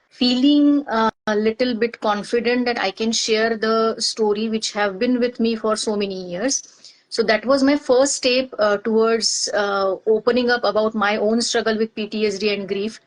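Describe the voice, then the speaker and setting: Middle-aged woman from india, marathi first language, halting and reflective, many fillers. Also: on the high side, poor audio. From Maharashtra, India. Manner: hesitant, many pauses and fillers. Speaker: guest, organizational psychologist. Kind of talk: reflective personal interview.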